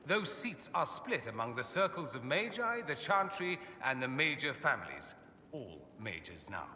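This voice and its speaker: A very bright, crisp-edged male voice playing a witty, self-assured noble mage in a fantasy game. His delivery is suave, theatrical and sardonic, swooping with lively pitch. It rings in an echoey hall over faint ambient noise. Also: measured pace, heavily acted. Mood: lofty, amused. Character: urbane, witty, self-assured foreign aristocrat mage, adult man. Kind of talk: video game dialogue acting.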